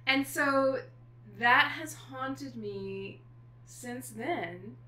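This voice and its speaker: A middle-aged woman guest author chatting casually, telling stories in an animated way with thoughtful pauses. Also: high-pitched. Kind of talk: casual chat. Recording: echoey room with faint noise.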